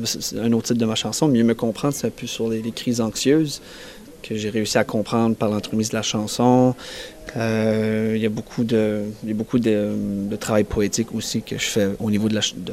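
A young man with an Acadian French accent, a singer-songwriter answering interview questions in a halting way, often restarting and searching for words. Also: lowish voice, a little mellow. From New Brunswick, Canada. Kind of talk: casual festival interview.

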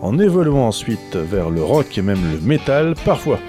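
A man's voice: mid-range voice, very animated, moderate pace. A man presenting a radio segment, reading a scripted introduction of a guest. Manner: reads a prepared script. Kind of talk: scripted introduction over music. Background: guitar music.

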